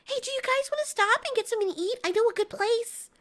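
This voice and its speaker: High, perky young monster girl. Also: quick pace, fairly bright tone. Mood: eager. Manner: perky, earnest, chatty. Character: young eager cheerful female kaiju, chatty and sweet.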